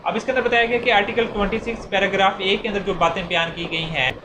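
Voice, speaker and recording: A man's voice: high voice, fairly even intonation, fast. A man with a Pakistani accent lecturing on law in a didactic, step-by-step way, repeating himself as he explains through worked examples. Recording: echoey room with clear background noise.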